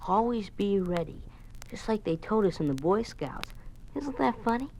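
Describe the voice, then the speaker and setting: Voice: medium pitch, lively intonation, moderate pace, a little mellow, slight background noise, lightly acted, low-quality recording. An actor with an American accent playing an earnest, naive young schoolboy in a 1950s radio drama. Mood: eager, wondering. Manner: eager, naive, polite. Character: earnest, eager young schoolboy, a Boy Scout and obedient son.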